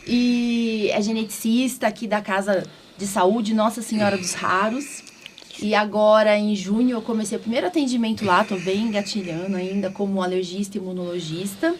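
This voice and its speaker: A woman's voice: mid-range voice, steady tone, moderate pace. A woman from São hosting a podcast in an informal, chatty way, often checking for agreement. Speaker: podcast host, doctor. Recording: clearly audible background room noise.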